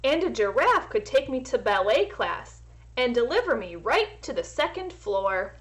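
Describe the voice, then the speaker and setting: A woman reading a children's storybook aloud in an expressive, teacherly way, pausing to explain words to young listeners. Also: fairly high pitch.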